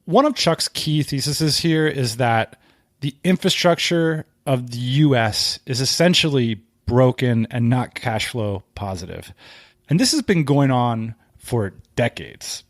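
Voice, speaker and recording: A man's voice: medium pitch, lively intonation, a little slow, dry recording, clean studio sound. A man hosting a podcast, introducing the show in a conversational way. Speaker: podcast host.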